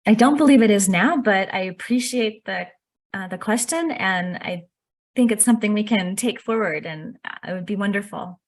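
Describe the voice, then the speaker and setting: A woman gives a webinar presentation over a computer microphone. Her pitch sits in an ordinary middle range, and her delivery is measured and earnest, with frequent pauses of "um" as she walks through her points. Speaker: workshop presenter. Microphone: computer mic on a video call.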